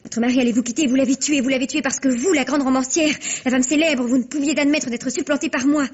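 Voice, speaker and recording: A woman's voice: sits high, steady tone, fast talker, husky. A woman playing a proud, spiteful young would-be starlet with biting, defiant theatrical delivery, in a 1950s French radio mystery. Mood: indignant, spiteful. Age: young adult. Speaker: actor. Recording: dull vintage radio recording.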